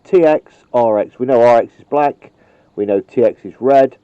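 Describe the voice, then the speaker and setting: A man's voice: mid-range voice, moderate pace, clear, resonant tone, dry recording. A man casually demonstrating how to wire a radio microphone, rambling and chatty, with frequent ums and the odd mild swear word. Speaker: radio hobbyist demonstrating wiring. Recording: dull, lo-fi home video sound.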